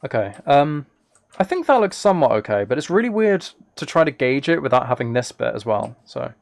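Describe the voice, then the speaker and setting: A man casually chatting on a live game stream, thinking aloud in a relaxed way, close to the microphone. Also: medium pitch, fairly quick, creaky. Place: indoors at a computer.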